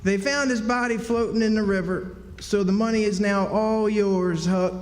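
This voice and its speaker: A man's voice: sits high, steady tone, moderate pace, lightly acted. A man playing an eager, earnest boy in a radio drama. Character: eager adventurous boy breaking news to his friend. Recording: reverberant hall, faint noise.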